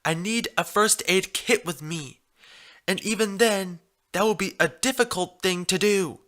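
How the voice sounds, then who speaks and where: A man's voice: sits high, measured pace, fairly bright tone, voice pushed up. A man voicing an injured female pony nurse in a story reading, embarrassed and exasperated, hesitant and protesting.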